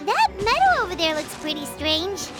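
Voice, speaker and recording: A tiny floating fairy girl pipes up in a very high, squeaky voice that bounces all over the place. She is curious and quick to exclaim, chattering eagerly. Music plays behind her. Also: big pitch swings. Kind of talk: video game dub acting.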